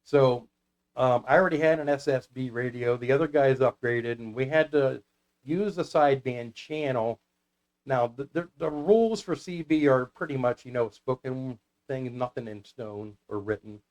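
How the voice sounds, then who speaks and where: A man's voice: medium pitch, moderate pace, darkish tone. Chatty American man telling anecdotes. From United States. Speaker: guest, CB radio club founder. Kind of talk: casual storytelling interview.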